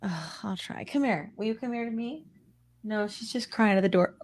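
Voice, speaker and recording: A woman's voice: medium pitch, moderate pace. A woman hosting a podcast, chatting casually and playfully in an informal way from home. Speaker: podcast host. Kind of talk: casual group chat. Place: indoors at home.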